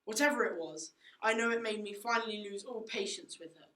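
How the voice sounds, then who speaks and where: A woman's voice: medium pitch, fairly quick, pushed and bright, close to an everyday voice. Haughty mother played by a teenage girl, echoey room. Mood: dismissive. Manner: dismissive, indignant. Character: haughty, self-righteous upper-class mother. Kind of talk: school radio play acting.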